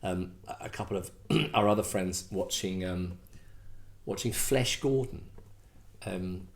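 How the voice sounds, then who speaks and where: A man's voice: deep voice, unhurried. A middle-aged man from England hosting a casual request show, rambling and self-deprecating, full of fillers and restarts. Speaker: host. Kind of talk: casual chat.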